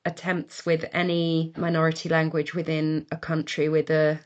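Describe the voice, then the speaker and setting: A Welsh woman, an actor, chatting casually in an interview, telling stories with laughs and quick restarts. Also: low-pitched, completely flat delivery, dull audio. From Wales.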